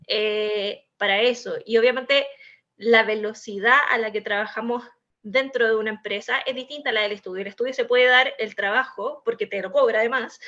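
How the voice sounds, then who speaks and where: Higher-pitched Chilean woman on a panel. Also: a bit bright, lo-fi sound. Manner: discursive, many "eh" fillers, explanatory. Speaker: in-house legal manager, panelist. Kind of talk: panel discussion.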